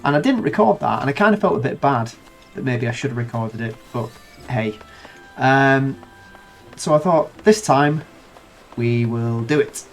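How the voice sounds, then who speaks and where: A man casually commenting on a game playthrough with a wry, self-deprecating humor. His middle-pitched voice is nasal and a bit subdued from a bad cold. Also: lively intonation, moderate pace, creaky, long pauses, soft background music. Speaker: gaming video host. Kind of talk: casual let's-play commentary.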